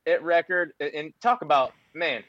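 A man's voice: a little high, a little slow, crowd noise. Easygoing man on a dull mic. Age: 30s. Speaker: podcast guest. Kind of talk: casual chat.

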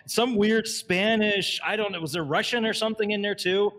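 A man's voice: high-pitched, normal speed, a bit bright. A man co-hosting a podcast stream, exasperated and swearing in casual chat.

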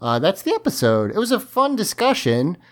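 A man's voice: high-pitched, huge pitch swings, brisk. Man losing his voice, talking emphatically. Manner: emphatic, talkative. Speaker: podcast host. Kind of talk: casual podcast discussion.

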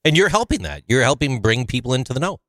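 A man's voice: mid-range voice, sing-song, fast talker, fairly bright tone, dry recording, clean studio sound. An easygoing man hosting a podcast, stumbling over words as he talks to the guest. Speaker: podcast host. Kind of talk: casual podcast interview.

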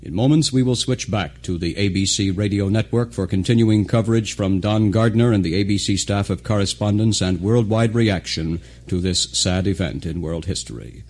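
A man, a radio news anchor announcing breaking news in a solemn, measured, formal way. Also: fairly low pitch, a little mellow. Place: radio studio.

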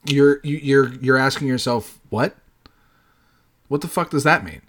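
A man's voice: medium pitch, a little slow, fairly bright tone. A man in his late thirties hosting a podcast solo, talking casually in a rambling way and swearing freely. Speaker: podcast host.

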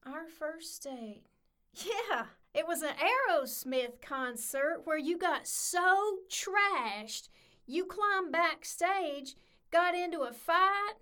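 Actress as a high-voiced, brash young woman. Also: sharp tone, full character voice. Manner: slangy, scoffing, blunt. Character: brash, defensive, foul-mouthed working-class young woman. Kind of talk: comedic audio drama acting.

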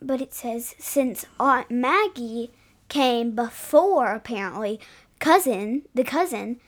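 A woman's voice: fairly high pitch, slow pace. A woman co-hosting a podcast, chatting casually, questioning and interjecting as she reacts. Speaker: podcast co-host. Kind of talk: casual chat, reacting to comics.

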